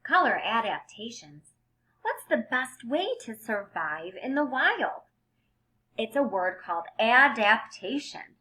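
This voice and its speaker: A woman's voice: a little high, slow, low-quality recording. A woman reading a picture book aloud to young children like a teacher, stressing key words expressively and explaining things simply. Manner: expressive, emphasized headings with simple explanatory asides.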